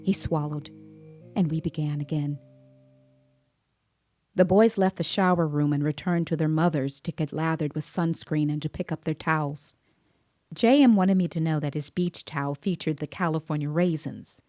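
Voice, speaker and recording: An actress playing a composed, observant female interviewer, narrating an audio drama in a measured, precise way. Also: deep voice, steady tone, warm tone, dry recording, soft background music, close to an everyday voice. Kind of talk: scripted first-person dramatic narration.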